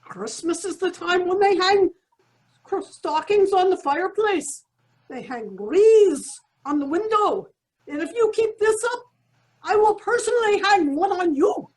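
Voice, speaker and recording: A woman's voice: very high-pitched, expressive, measured pace, poor audio. A woman playing a sentimental, easily affronted landlady in an old-time radio comedy, theatrical and folksy. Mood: affronted, scolding. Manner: theatrical, folksy scolding and endearments.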